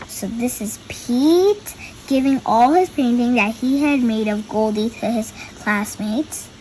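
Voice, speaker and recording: A young girl reads a picture book aloud in a high voice. She takes her time and stops to explain the pictures, with faint noise behind her. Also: slow pace, warm tone, poor audio. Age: child.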